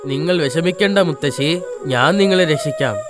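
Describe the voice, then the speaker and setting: A woman plays a helpful young boy from a cartoon devotional fable. He is kind and playful, speaking over clearly audible music. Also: deep voice, moderate pace, lightly acted. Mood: reassuring. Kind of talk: animated moral story voice acting. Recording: music playing behind the voice.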